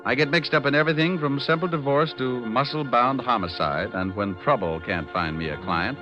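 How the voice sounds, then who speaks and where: An actor playing a world-weary private detective, narrating with slangy deadpan wisecracks in an old radio drama. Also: male voice, mid-range voice, fairly quick, natural voice. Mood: wry, self-deprecating. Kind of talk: first-person narration, 1940s-50s radio detective drama. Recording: dull old radio recording, music bed.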